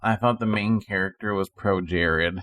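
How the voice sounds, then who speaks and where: A man's voice: sits low, steady tone, normal speed, fairly bright tone, dry recording. A man co-hosting a podcast, chatting casually in an incredulous manner. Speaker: podcast co-host. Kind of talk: casual chat.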